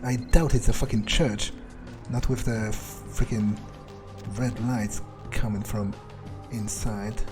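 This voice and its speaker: A man's voice: lowish voice, little pitch movement, slow pace. A man voicing a cynical, foul-mouthed vampire in a video game, muttering casually to himself as he thinks aloud. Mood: musing, hungry. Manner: casual, sweary, hesitant muttering. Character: cynical, foul-mouthed male vampire prowling a city for prey. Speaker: gameplay roleplayer. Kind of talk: in-character gameplay monologue, thinking aloud. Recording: game music behind the voice.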